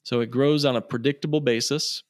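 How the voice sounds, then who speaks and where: A man explains things in a conversational, persuasive way as an expert podcast guest. His pitch is middling and he leans on repetition for emphasis. The sound is very dry, with little room in it. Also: fairly even intonation, fairly quick, no room sound. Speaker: financial planner, podcast guest.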